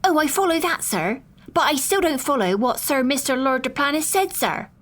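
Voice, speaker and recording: A man's voice: very high-pitched, big pitch swings, moderate pace, a bit bright, voice pushed up, heavily acted. A man voicing a nervous young housemaid who fires her words out stiffly and adds "sir" to everything. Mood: stiff, blurting.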